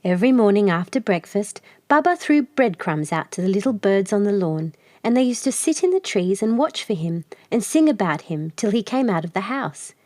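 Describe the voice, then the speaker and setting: A woman tells a children's tale in a mid-pitched voice. The sound is dry and close, with no room around it. Her delivery is expressive, in the way of storybook narration for little listeners. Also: normal speed, few pauses, no room sound.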